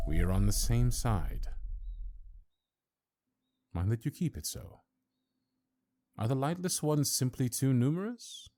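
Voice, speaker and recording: Guy doing an imperious old councilor for fantasy audio roleplay, low-ish soft dark tone, lively pitch, super formal. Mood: measured, probing. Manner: formal, archaic, haughty and deliberate.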